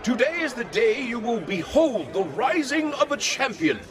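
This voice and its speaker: A man playing a pompous, theatrical lord in a medieval fantasy game, with grandiose, drawn-out, florid delivery. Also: very high voice, very animated, crowd noise. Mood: grand, proclaiming. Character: pompous, theatrical powerful lord and tournament host.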